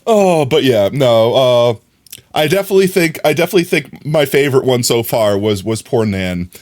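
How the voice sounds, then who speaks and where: A man's voice: medium pitch, lively intonation, normal speed, a little mellow. A young man streaming live, chatting casually to his viewers with a slightly nasal voice, rambling and often giggling.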